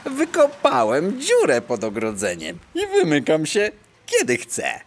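A woman's voice: low voice, huge pitch swings, unhurried, slight background noise, full character voice. A woman voicing a small, breezy, self-assured male terrier with slangy patter in a children's audio play. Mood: brisk, insistent.

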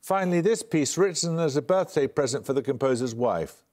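An older man, the host of a studio quiz show, reads each question crisply and evenly. His voice sits a little high for a man, and he sounds dryly amused when teams get it wrong. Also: expressive, a little fast, darkish tone. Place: television studio.

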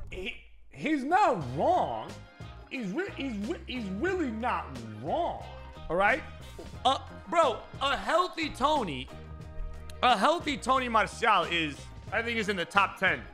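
An excitable young man talks in casual slang on a stream. His voice is very high and lively, with swearing thrown in, recorded close up over faint music. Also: slow pace, long pauses. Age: young adult. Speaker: streamer reacting to football clips. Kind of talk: casual live-stream reaction. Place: small indoor streaming room. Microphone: close microphone on boom arm, with headset.

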